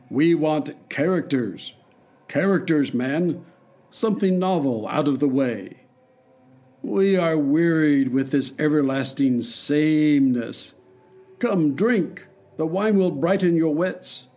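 A man voicing a cruel, blustering king who mocks and bullies, speaking louder and higher than his own reading voice. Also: slow pace. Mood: domineering. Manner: loud, mocking, bullying.

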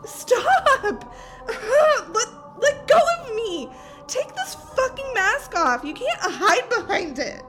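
A woman's voice: extremely high pitch, very animated, very slow, pauses often, roomy sound, long reverb tail, full character voice. A woman acting as a frightened, loyal friend, emotional and imploring, in a horror audio drama. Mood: frightened, pleading. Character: terrified, loyal young woman pleading with possessed friend. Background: music.

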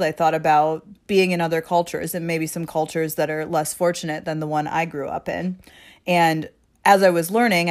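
Raspy stuffy-nosed woman with a cold. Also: low voice. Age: 20s. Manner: pauses to catch breath. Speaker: podcast host. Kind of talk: solo podcast monologue.

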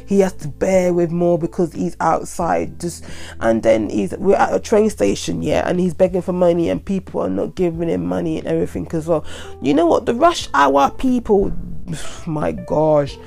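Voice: medium pitch, animated, normal speed, vocal fry, a little mellow, faint music behind. A young adult podcaster with a stuffy head cold, rambling casually and repeating themselves. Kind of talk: casual solo monologue.

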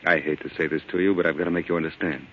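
An actor playing a cocky, evasive young mob lawyer in an old-time radio drama, brisk and defensive. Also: male voice, deep voice, fairly even intonation, slight background noise, lightly acted. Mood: earnest, awkward. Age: young adult. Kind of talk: 1940s radio drama acting. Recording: dull lo-fi old radio recording.

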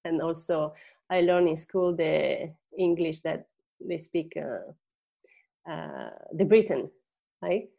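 A woman's voice: deep voice, takes it slowly, creaky, long pauses, mellow. A woman in her forties with an Italian accent, a native Italian speaker chatting casually as a podcast guest and searching a little for words. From Italy. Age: 40s. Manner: hesitant, searching for words, often laughing. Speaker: podcast guest, life coach. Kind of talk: casual personal storytelling in an interview.

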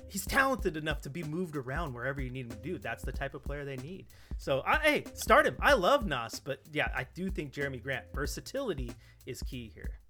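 A man's voice: on the high side, sing-song, a little fast, few pauses, sharp tone, faint music behind. A man in a casual sports podcast discussion, emphatic and opinionated, repeating points for stress. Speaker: podcast co-host and basketball analyst.

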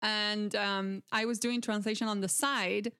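A woman from Argentina, a translator, talking in an interview in a relaxed way, laughing often and using many fillers. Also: mid-range voice, unhurried, clear, resonant tone. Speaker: translator guest.

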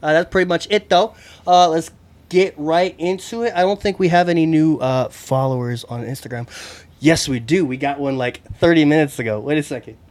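Chatty podcast host man, slightly high voice. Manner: joking, chatty, with quick asides. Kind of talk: casual podcast chat. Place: indoor recording room.

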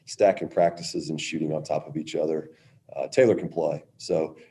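Deep low guy, really dark voice, coach answering reporters, lots of stops and restarts. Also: fairly even intonation. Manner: halting, with many restarts and fillers. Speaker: women's basketball head coach. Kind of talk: press conference answers.

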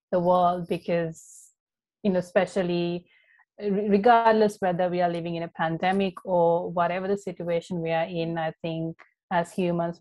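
Earnest young woman from Sri Lanka. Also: on the low side. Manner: warm, earnest, many ums and you-knows. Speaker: podcast guest, life coach. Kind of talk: casual interview talk.

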